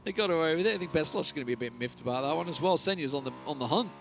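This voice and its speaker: A man's voice: fairly high pitch, expressive, very fast, gravelly, a bit bright, soft background music. A man casting a live esports match on a headset, chatty and analytical, and he laughs easily. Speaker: esports caster.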